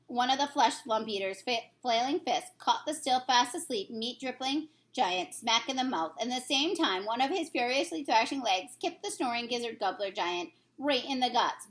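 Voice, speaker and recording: Woman, high voice, expressive storybook narration, read-aloud. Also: normal speed, few pauses. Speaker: reader, teacher.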